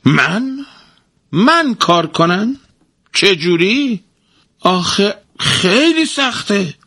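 A man's voice: medium pitch, sing-song, pushed and bright, breathy edge, no echo, clean studio sound, pitched above the performer's own voice, exaggerated voice. A man voicing a lazy, gluttonous, simple-minded husband in a storybook folk tale, whiny and bossy. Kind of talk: storybook reading.

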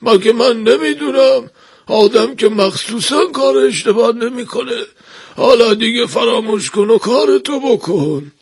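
A man acts out a master craftsman from a storybook, pitched high with a slightly rough voice. He is emphatic and placating, holding on to patience and courtesy with effort. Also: full character voice. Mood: reassuring. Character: workshop master craftsman straining to stay patient and polite.

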